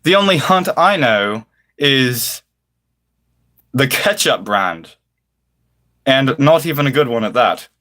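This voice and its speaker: A man hosts a casual livestream in a mid-pitched, highly animated voice. He affects a British-style accent and chooses flowery, mock-formal words. His delivery is theatrical, rising and falling widely as he addresses his viewers. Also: slow, long pauses.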